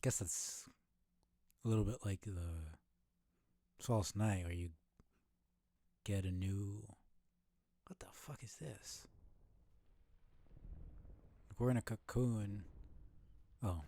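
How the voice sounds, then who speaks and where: A man's voice: lowish voice, steady tone, slow pace, pauses often, mellow, no room sound. A man giving casual live commentary on a video game as he plays, thinking aloud and swearing offhandedly.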